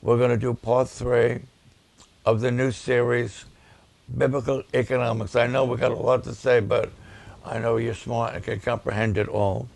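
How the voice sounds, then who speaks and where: A man, a pastor, preaching and promoting his ministry's videos, with a voice that gets raspy at times, speaking with emphatic repetitions. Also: medium pitch, flat delivery, darkish tone, dry recording. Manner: slow, deliberate, with emphatic repetitions.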